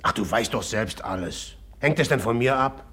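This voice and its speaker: An actor playing a jealous, passionate Russian man in a German radio drama, suspicious yet affectionate, with a brooding, heavy and halting delivery. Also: medium pitch, expressive, a bit bright, slightly rough. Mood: curt, bitter.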